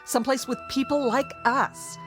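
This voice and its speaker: A woman's voice: high voice, fairly even intonation, moderate pace, soft background music, close to an everyday voice. A woman playing a domineering, bigoted, nagging wife in a radio drama, scolding and sarcastic. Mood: scornful, bitter.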